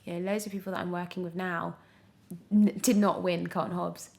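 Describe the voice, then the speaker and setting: A young woman chatting casually as an interview guest, telling her story in an animated, expressive way. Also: fairly low pitch, brisk, gravelly. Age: young adult. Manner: animated storytelling, acts out her own past thoughts. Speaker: podcast guest, radio drama actor.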